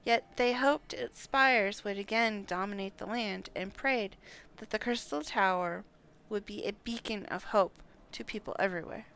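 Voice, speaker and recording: A woman's voice: medium pitch, moderate pace, fairly bright tone, clear, resonant tone, rough recording. A woman livestreaming a game, chatting and reading dialogue aloud, sometimes stumbling over names. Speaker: game streamer.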